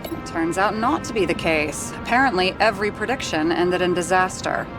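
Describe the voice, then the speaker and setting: An actress playing a blunt, troubled woman investigator in a dystopian noir video game, direct, questioning and sharp-tongued. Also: lowish voice, normal speed, music in the background, natural voice. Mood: serious, intrigued. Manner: direct, questioning, foul-mouthed. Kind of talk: cinematic video game dialogue.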